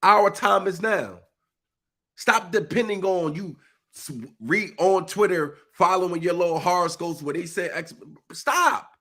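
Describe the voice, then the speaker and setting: A man's voice: sits high, a little slow, sharp tone. Stuffy, preachy man. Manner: preachy, emphatic, uses informal speech. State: congested, stuffy nose. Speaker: live-stream speaker. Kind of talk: impassioned live monologue.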